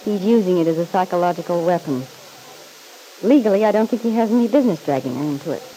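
A woman's voice: on the low side, lively intonation, quick pace, mellow, lightly acted, poor audio. An actress playing a catty, disillusioned woman in a vintage radio soap, arch, needling and drily amused. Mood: curious, dry. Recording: old radio recording with faint hiss.

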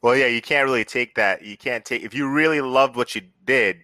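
A man's voice: medium pitch, fairly quick, pushed and bright, no echo. A man hosting a podcast, interviewing in a casual, conversational way. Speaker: podcast host.

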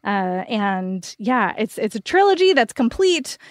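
A woman's voice: fairly high pitch, big pitch swings, a little slow, dry recording, clean studio sound. A woman co-hosting a book podcast, giving recommendations in a casual, enthusiastic and emphatic way.

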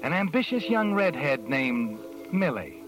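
A man playing a knowing, sardonic mystery narrator in a 1940s radio drama, with dramatic, measured storytelling. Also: on the high side, sing-song, low-quality recording. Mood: wry, ominous. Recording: vintage radio broadcast over music.

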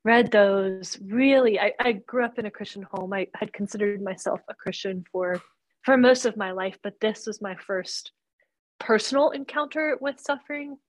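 A woman's voice: mid-range voice, moderate pace, dry recording, low-quality recording. Earnest woman in a dry room. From born in Romania, raised in North Carolina. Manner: earnest, thoughtful, with many fillers. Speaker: guest author. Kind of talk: casual interview.

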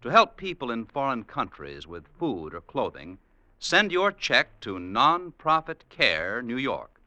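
A male announcer on vintage radio with a very bright, punchy voice and animated pitch. His delivery is formal and emphatic, recorded dry. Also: a little slow, dry recording.

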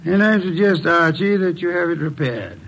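An actor playing a shrewd, self-assured detective in 1940s radio style, speaking in a pompous, precise, deliberate way. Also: male voice, on the high side, expressive, fairly bright tone, low-quality recording. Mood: commanding, deductive. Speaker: lead detective. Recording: vintage radio drama recording.

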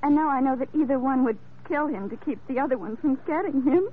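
A woman's voice: high-pitched, fast talker, narrow, tinny audio, natural voice. An actress playing an anxious, pleading young mother in an old-time radio drama. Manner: pleading, earnest. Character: earnest, anxious young mother seeking help. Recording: thin vintage radio recording, faint hiss.

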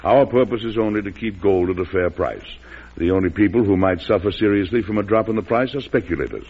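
An actor playing a patient, measured president and protective brother in an old-time radio drama, his voice husky. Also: on the low side. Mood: calm, firm. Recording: muffled vintage radio recording.

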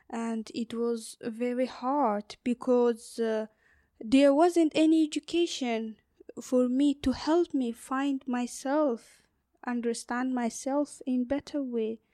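A young woman from Afghanistan whose first language is Uzbek, answering interview questions. She speaks slowly and haltingly, filling pauses and restarting phrases. Her voice sits a little high, recorded dry and close. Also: mellow, no echo. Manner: halting, many fillers and restarts. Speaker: guest, activist. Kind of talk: interview conversation.

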